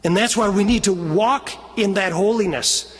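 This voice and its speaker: A middle-aged American man preaches a homily in a high, husky voice. He is earnest and emphatic, stretching key phrases for weight. His words ring with long reverb from a chapel pulpit microphone. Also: normal speed. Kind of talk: homily, telling stories and exhorting. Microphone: gooseneck lectern microphone.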